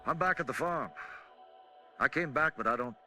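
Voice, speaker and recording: A man playing a confused, deteriorating farmer in a radio drama, halting and correcting himself as he goes. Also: fairly high pitch, sharp tone, soft background music, low-quality recording. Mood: dazed confusion. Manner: halting, disoriented, self-correcting. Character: confused, deteriorating farmer keeping a faltering diary.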